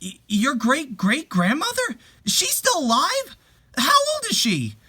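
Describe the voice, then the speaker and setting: An anxious young bride in a dramatic manga reading, acted out by a storyteller. The voice is lifted high and bright, swinging widely as nerves take over, with a hesitant, easily startled delivery. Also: wildly swooping pitch, a little slow, heavily acted. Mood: astonished. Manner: hesitant, stammering when startled. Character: anxious young newlywed woman narrating her story, timid and easily frightened.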